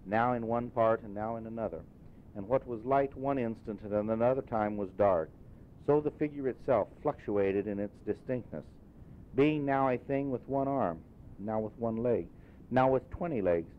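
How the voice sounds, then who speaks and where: A man reads literary fiction aloud in a fairly low voice. He moves deliberately, phrase by phrase, as an audiobook narrator. The audio is muffled and phone-like, with a faint rumbling noise. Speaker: audiobook reader. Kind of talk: scripted literary story narration.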